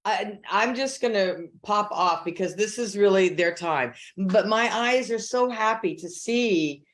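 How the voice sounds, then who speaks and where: A woman who organizes a film festival, promoting it in a measured, enthusiastic way during an interview. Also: mid-range voice. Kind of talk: casual interview talk.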